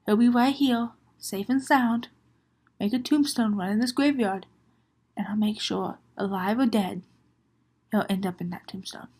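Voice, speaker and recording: A woman voicing a sinister, calmly menacing graveyard spirit in a spoken horror story, hushed and falsely reassuring. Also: mid-range voice, voice pushed down. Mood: quiet menace. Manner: hushed, slow-burning, falsely reassuring, partly whispered.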